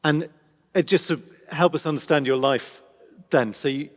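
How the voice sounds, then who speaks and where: A man interviewing a guest, asking courteous, gently probing questions. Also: on the high side, moderate pace. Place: large church. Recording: long reverb of a large church hall.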